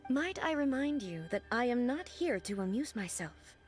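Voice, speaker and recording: A woman voicing a solemn, regal immortal goddess in a video game, speaking in a formal, measured and stately way. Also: fairly high pitch, big pitch swings, faint music behind. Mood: solemn, composed.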